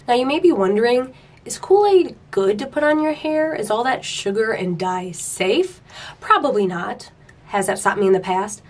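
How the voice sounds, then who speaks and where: A woman's voice: a little high, lively intonation, normal speed. A young woman in her twenties hosting a casual hair tutorial vlog at home, upbeat and chatty, a bit nasal from a cold. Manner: chatty and upbeat, playful rhetorical questions. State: getting over a cold. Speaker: beauty vlogger host. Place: small room at home.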